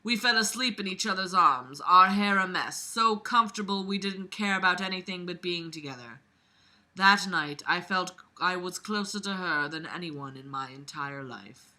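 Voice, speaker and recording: Woman acting out a lovestruck teenage boy, earnest, overdramatic, mocking storybook narration, very bright sharp tone. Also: lowish voice, normal speed. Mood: earnest, melodramatic.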